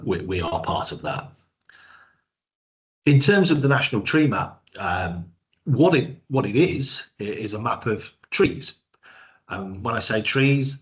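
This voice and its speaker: A man with a cold and a croaky voice, giving a webinar presentation with many hesitations and repeated words. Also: low-pitched.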